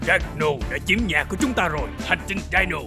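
A man's voice: high voice, brisk, close to an everyday voice. A man voicing an earnest, heroic dinosaur robot in a cartoon dub, speaking with urgent declamation. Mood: rallying. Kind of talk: animated action cartoon dub. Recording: loud music behind the voice.

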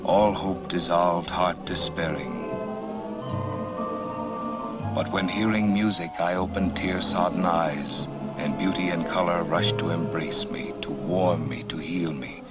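An actor playing a weary man reciting a heartfelt poem to the islands, slow and tender. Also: low voice. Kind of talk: 1950s film drama acting. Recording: dull old film audio under loud music.

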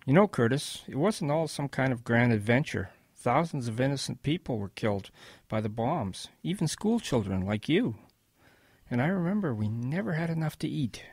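A husky, creaky old grandfather reminisces in a radio drama. His pitch swings in lively, gentle storytelling, and the recording is close and dry. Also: normal speed, dry recording. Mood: wistful. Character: kindly old grandfather reminiscing about wartime.

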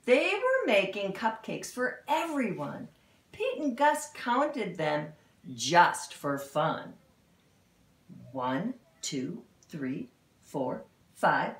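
A middle-aged woman reading a children's storybook aloud in a dramatic, expressive way, with exclamations and chatty asides. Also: mid-range voice. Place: indoor room in a house. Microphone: camera a few feet away. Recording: roomy, echoey home recording.

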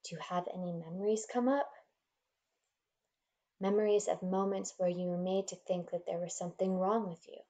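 A woman leading a guided meditation in a gentle, soothing, measured manner, leaving pauses between instructions. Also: lowish voice, steady tone.

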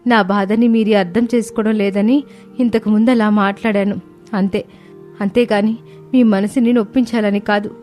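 A woman's voice: a little high, dark tone, natural voice. A woman voicing an outspoken, aggrieved sparrow wife in a cartoon moral story, speaking in a plaintive, reproachful way. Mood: softened, affectionate. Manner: plaintive, reproachful, long emotional speeches. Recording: music bed behind voice.

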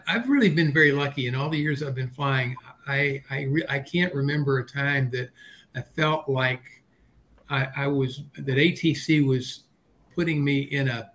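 An older man chatting as a panelist on a video call, halting and repeating the starts of his words. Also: fairly even intonation, fairly bright tone. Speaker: panelist and experienced pilot. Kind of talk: casual panel chat. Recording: noisy video call with low rumble.

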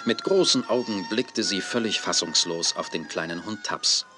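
A man's voice: low-pitched, moderate pace. A man reading scripted storybook narration as the narrator of a children's audio play. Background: music.

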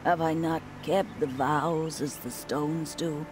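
A woman's voice: low-pitched, fairly even intonation, slow. An actress playing a devout, frail old outcast woman in a video game, praying aloud in solemn, archaic phrasing. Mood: pleading, devout. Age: older. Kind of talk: video game character acting. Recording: music behind.

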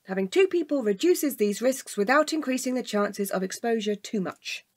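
An actress playing a pedantic, logical, wearily patient woman with a precise, deadpan manner in a comedic audio drama. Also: fairly high pitch, normal speed. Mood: lecturing.

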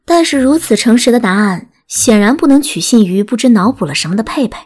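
A woman's voice: on the high side, expressive, fairly quick, darkish tone, slightly rough, soft background music. A woman reading scripted story narration as an audiobook narrator.

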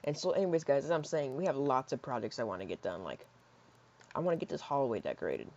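A hoarse young man in his teens rambling casually while playing a building game, with lots of fillers and soft mutters. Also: mid-range voice, animated, fast talker. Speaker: gaming YouTuber. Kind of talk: casual gameplay commentary. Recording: slightly dull lo-fi mic.

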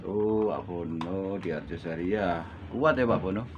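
A man with a Javanese accent chatting casually over a two-way radio, relaxed and signing off each turn. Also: sits low, a little slow. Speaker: radio amateur operator. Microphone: desk condenser mic. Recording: lo-fi room with loud hiss.

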